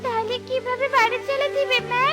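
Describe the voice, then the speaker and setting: Extremely high, childlike little bird girl. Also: level tone, a little fast. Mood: eager. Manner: childlike, earnest, plaintive. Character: innocent, eager little daughter bird, earnest and anxious. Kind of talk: Bengali fairy-tale cartoon dubbing. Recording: music bed behind the voice.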